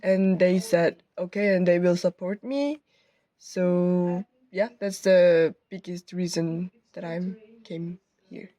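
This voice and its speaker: Young woman, English, slow, halting, lots of fillers, student interview. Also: fairly low pitch, steady tone, warm tone. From South Korea. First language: Korean. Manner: halting, searching for words with many fillers. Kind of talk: reflective interview answer.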